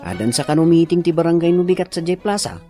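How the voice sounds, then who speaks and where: A man's voice: a little high, sing-song, fast, music in the background. A man playing an easygoing village man in Ilocano radio drama, speaking casually and conversationally.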